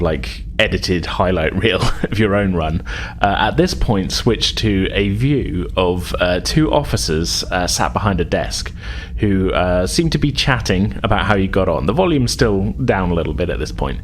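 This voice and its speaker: Male game master, very low pitch, halting conversational narration, faint noise behind. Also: lively intonation. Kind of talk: improvised narration of tabletop roleplaying game.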